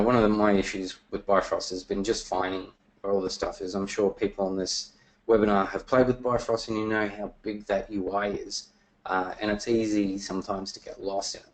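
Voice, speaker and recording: A man presenting software features in a webinar, talking casually with many fillers, his voice getting worn and losing strength. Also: fairly low pitch, low-quality recording. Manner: casual, many fillers and restarts, throat clearing.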